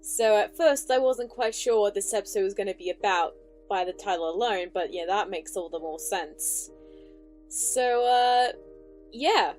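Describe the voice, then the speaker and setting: Female reaction host: casual, enthusiastic, mid-range pitch, faint music underneath. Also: unhurried, a little mellow. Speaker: reaction commentator, channel host.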